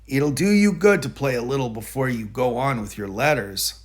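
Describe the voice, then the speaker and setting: A man voicing a brisk, firm, kindly male guardian instructing a child in a storybook, with emphatic delivery. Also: medium pitch, close to an everyday voice. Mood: encouraging.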